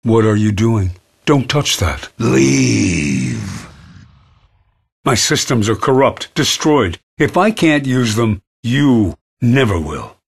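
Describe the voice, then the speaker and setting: An actor playing a corrupted male ship AI with a raspy, processed voice, torn between frightened pleading and a menacing hive voice in a video game. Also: mid-range voice, very animated, takes it slowly, exaggerated voice. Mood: bitter.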